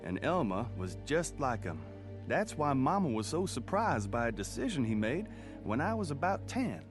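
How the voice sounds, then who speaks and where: Man as plain-spoken reminiscing narrator, radio drama, medium pitch, lively delivery, faint music behind. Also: moderate pace, natural voice. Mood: reminiscent. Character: folksy man recalling his hard rural boyhood.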